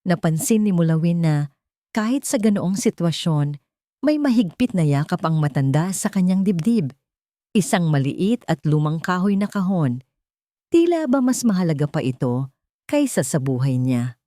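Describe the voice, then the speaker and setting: A woman narrating a scripted audio drama in a steady, dramatic storytelling manner. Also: lowish voice, moderate pace, no echo, clean studio sound.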